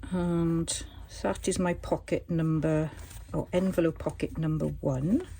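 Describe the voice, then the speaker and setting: Lady doing a craft tutorial, kinda low voice, bit croaky, chatty and thinking out loud, faint hiss behind. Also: steady tone, unhurried. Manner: chatty, thinks aloud while working. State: slightly croaky voice. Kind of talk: casual craft demonstration.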